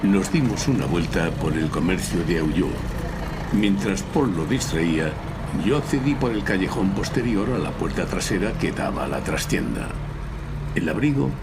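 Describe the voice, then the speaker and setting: An actor playing a lawyer who narrates his own case in a live audio drama, deliberate and wry. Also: sits low, expressive. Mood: matter-of-fact. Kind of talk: scripted first-person audio drama narration. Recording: noisy live stage recording before an audience.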